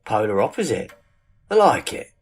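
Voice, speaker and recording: Low-voiced loyal companion, played by a man. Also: very animated, moderate pace. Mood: curious, wry. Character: loyal male companion and wry narrator. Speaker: voice actor in an amateur audio drama. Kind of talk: audio drama acting and narration.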